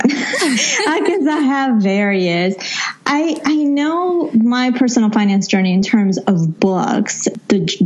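A woman's voice: mid-range voice, darkish tone, highs cut off. A woman speaking as a coach being interviewed, explaining casually in a rambling way and often rephrasing herself. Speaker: podcast guest, financial counselor and money coach.

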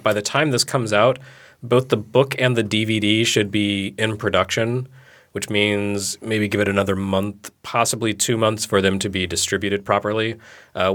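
A man co-hosting a podcast in casual chat, talking in a relaxed, rambling, self-deprecating way with a sleepy-sounding voice. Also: fairly low pitch. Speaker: podcast co-host.

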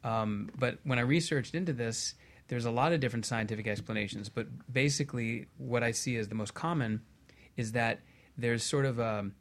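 A man with a medium-pitched voice speaks as a talk show panelist. He explains things conversationally, in a measured and matter-of-fact manner.

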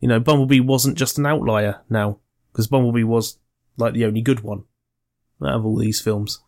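A man's voice: mid-range voice, steady tone, normal speed, a little mellow. A man co-hosting a podcast, chatting casually about a film and teasing his friend with jokes and swearing. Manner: chatty, jokey, swears freely and teases his co-host. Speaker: podcast co-host reviewing films. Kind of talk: casual chat.

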